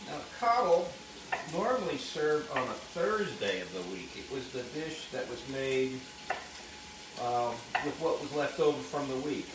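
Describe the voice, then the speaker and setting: A man's voice: medium pitch, lively intonation, a little slow. A man hosting a cooking show, chatting and explaining the dish as he cooks in a kitchen. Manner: chatty, explains while he works. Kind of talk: casual cooking demonstration. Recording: roomy kitchen sound, mic at a distance, clear background noise. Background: frying and sizzling.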